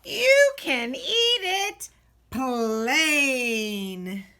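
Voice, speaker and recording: A woman reading a children's storybook aloud expressively, with deliberate, dramatic emphasis and pauses. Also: high-pitched, sing-song, pushed and bright. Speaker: teacher reading a picture book aloud.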